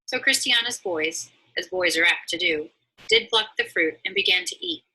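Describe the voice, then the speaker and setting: A woman reading a storybook aloud as narrator, in a dramatic, expressive manner. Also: fairly low pitch, moderate pace, husky, low-quality recording. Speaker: story reader.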